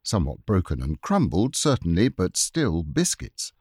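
Expressive low-voiced male storyteller. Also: moderate pace, slightly rough, no room sound, studio quality. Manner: expressive, wry. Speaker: audiobook narrator. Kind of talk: storybook narration.

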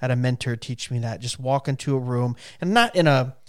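A man in his late thirties hosting a casual podcast chat and running a music quiz for his guests, animated and quick to laugh when someone scores. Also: medium pitch. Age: 30s. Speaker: podcast host.

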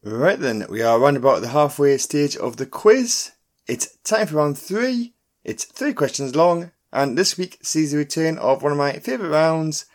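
A man hosting a quiz podcast, reading out scripted questions and clues in a deliberate announcing manner. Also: on the high side. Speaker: pub quiz podcast host. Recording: music bed under the voice. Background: background music.

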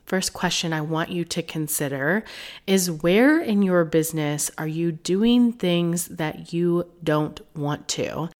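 Woman, podcast host and coach, stuffy from a cold, lower voice, chatty and encouraging. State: congested from a cold. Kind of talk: solo podcast talk.

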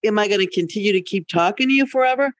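A woman's voice: mid-range voice, racing. A middle-aged woman co-hosting a podcast in casual banter, giving direct, playful advice. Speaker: podcast co-host.

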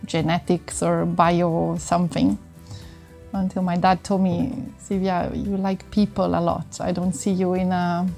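A middle-aged woman with an Italian accent answers interview questions in a soft-edged, mellow voice. She explains things conversationally, pausing to find words, with music playing behind her. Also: on the low side, little pitch movement, gravelly. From northern Italy. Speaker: interview guest, sports medicine doctor.

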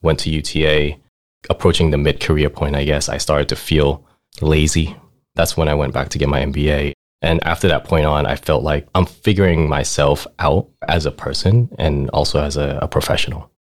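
A man's voice: rumbling low, flat delivery, moderate pace. A man in his thirties who grew up in Thailand, a finance manager telling his career story in a laid-back way, laughing often and saying "like" and "right?" a lot. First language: Thai. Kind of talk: casual interview storytelling.

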